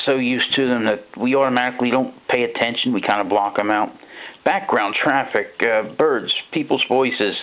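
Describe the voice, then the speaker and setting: A man narrating a first-person horror story in a folksy, halting, conversational way, like an ordinary guy confiding. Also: medium pitch. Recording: thin phone-like band-limited sound.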